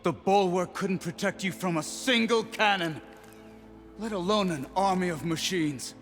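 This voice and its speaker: Proud, steadfast warrior marshal over music. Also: male voice, sits high, unhurried, a bit bright. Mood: stern, commanding. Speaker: video game character. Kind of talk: dramatic game dialogue.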